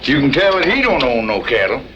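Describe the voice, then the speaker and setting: An actor playing a folksy, nosy, chattering rancher with an American Western drawl and a wry, rambling manner in an old-time radio western. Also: male voice, medium pitch, very animated, a little fast, close to an everyday voice. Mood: wry, chatty. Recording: muffled, noisy old-time radio recording.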